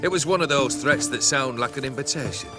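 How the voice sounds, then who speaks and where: An actor playing a fearless, brooding brigand lord in a video game, with grand, archaic, theatrical phrasing. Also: male voice, mid-range voice, a little fast, over loud music, natural voice. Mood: proud, wry. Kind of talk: dramatic video game voice acting.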